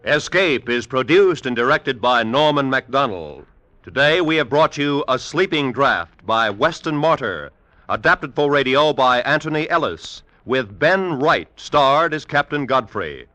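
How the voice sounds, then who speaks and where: A man's voice: medium pitch, lively intonation, unhurried, bright, forceful tone, no echo. A man announcing scripted credits for a mid-century radio drama in a formal broadcast style.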